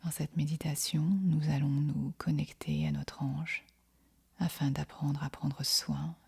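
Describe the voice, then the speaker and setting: Whispery, slow woman guiding meditation. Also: sits low, level tone. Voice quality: breathy, whispery, husky. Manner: soft, soothing, unhurried instruction with long pauses. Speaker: meditation guide. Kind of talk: guided yoga nidra meditation.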